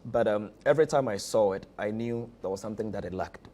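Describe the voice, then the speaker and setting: Low, mellow Ghanaian man in interview. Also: fast talker. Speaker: theatre director being interviewed. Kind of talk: conversational interview talk.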